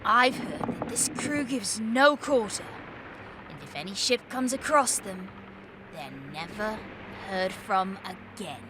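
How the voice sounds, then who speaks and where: A woman's voice: medium pitch, animated, slow, background noise. A woman voicing a young orphan boy, a protective and stubborn older brother, in an audio drama. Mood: ominous storytelling.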